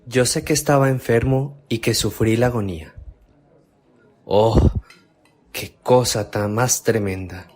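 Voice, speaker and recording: A man's voice: medium pitch, steady tone, unhurried, pauses often, darkish tone, breathy edge. An actor playing an earnest young man raised from the dead in a devotional radio drama, speaking emphatically. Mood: fearful.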